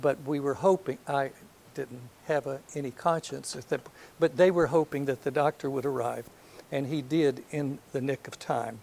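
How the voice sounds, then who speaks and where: An older man from North Alabama with a soft voice, giving a reflective lecture to an audience, hesitant and full of ums, with gentle self-deprecating humor. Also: mid-range voice, fairly even intonation, normal speed, creaky, few pauses, slight background noise. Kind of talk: last lecture, reminiscence. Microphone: handheld or podium microphone.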